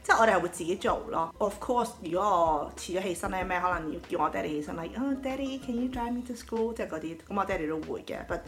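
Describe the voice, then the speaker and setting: A woman's voice: mid-range voice, fast talker, few pauses. Chatty Swedish-raised woman podcaster. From Sweden. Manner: chatty, laughs often. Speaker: podcast co-host. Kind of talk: casual chat, mixing English and Cantonese.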